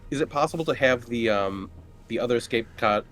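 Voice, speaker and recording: A man's voice: medium pitch, animated, a little fast, fairly bright tone. A man playing a tabletop roleplaying game, chatting casually as he talks through his plans with the group. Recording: music playing behind the voice.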